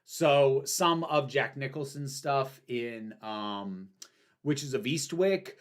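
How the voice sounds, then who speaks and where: A woman's voice: very deep voice, takes it slowly. Chatty woman hosting a livestream. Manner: chatty, candid, frequent ums and restarts. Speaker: livestream host. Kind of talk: casual livestream Q&A, reading chat questions.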